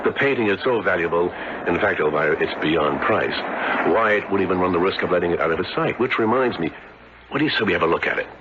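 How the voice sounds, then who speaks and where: A man's voice: low-pitched, lively intonation, fast talker. A man playing a genial, inquisitive married fellow in 1970s radio drama, talkative and exclamatory, stammering when flustered. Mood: puzzled, curious. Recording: very noisy, muffled old radio broadcast recording.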